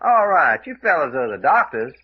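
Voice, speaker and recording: High, husky adventurer. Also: male voice, big pitch swings, moderate pace. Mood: puzzled, exasperated. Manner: drawling, folksy, plain-spoken. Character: folksy, plucky cowboy-like young adventurer, bewildered. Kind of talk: radio drama acting. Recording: dull lo-fi radio recording.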